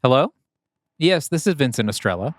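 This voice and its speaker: A man's voice: mid-range voice, sing-song, moderate pace, no room sound, close to an everyday voice. A man playing an anxious, guarded young engineer and dutiful son in a naturalistic, hesitant audio drama performance. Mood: tense, subdued. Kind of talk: acted dialogue in an audio screenplay reading.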